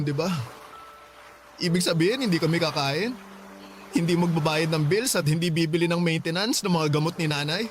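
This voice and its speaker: A young actor playing a grieving, dutiful eldest son in a radio drama, sorrowful and earnest. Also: male voice, on the high side, lightly acted. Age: young adult. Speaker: boyfriend, college student in radio drama.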